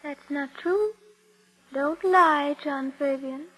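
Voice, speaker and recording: Tiny, piercingly high puppet girl. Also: slow pace, low-quality recording. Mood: solemn, accusing. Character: carved female puppet come to life, delicate, plaintive, truth-telling. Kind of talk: 1940s-50s radio drama acting. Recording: old radio broadcast, music behind.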